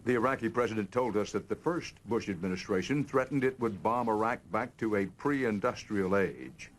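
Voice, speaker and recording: Low-voiced male news narrator. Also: expressive, moderate pace. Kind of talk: scripted news voice-over.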